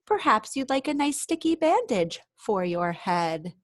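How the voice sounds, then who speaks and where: A woman's voice: a little high, very animated, measured pace, dry recording, studio quality. A woman voicing a kind, helpful little girl in a storybook, speaking sympathetically. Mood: sympathetic. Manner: sympathetic, offering help in rhyme.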